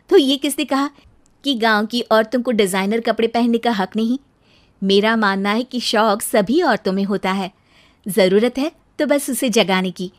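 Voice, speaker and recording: A woman voicing an eager, determined young city-bred fashion designer wife in an animated cartoon, in an expressive way. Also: a little high, lightly acted.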